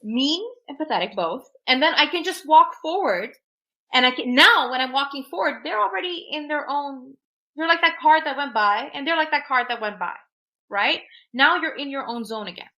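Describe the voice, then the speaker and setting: A woman's voice: high voice, lively intonation, moderate pace, bright, forceful tone. A woman whose first language is Urdu, talking through personal experiences and advice in an emphatic, reflective way and often checking in with "right?". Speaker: podcast guest, technologist.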